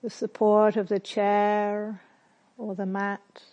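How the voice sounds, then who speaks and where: Slow, mellow woman guiding meditation. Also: medium pitch, level tone. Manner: gentle, measured, softly instructive. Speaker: meditation teacher. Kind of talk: guided meditation, slow and spare with long silences.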